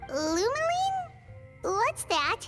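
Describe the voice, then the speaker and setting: A tiny, childlike companion creature in an anime game. It is extremely high and squeaky, blunt and curious, with wildly animated pitch. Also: female voice, drawn-out words, music in the background, exaggerated voice. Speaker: video game voice actor. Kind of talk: scripted anime game voice acting.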